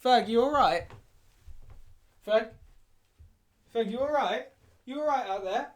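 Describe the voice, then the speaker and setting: A man co-hosting a comic mock interview, deadpan and earnestly agreeable. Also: very high-pitched, slow. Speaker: podcast co-host.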